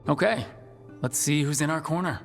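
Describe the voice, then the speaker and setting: An actor playing an earnest, measured hero in a fantasy video game. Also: male voice, fairly high pitch, soft background music, natural voice.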